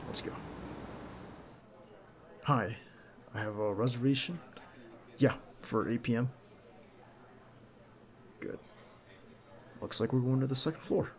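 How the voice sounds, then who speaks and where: A man playing a nervous, devoted bodyguard on a date in an intimate ASMR roleplay, speaking softly and hesitantly with some gentle teasing. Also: lowish voice, background noise. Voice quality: creaky. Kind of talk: ASMR roleplay acting, intimate one-sided dialogue.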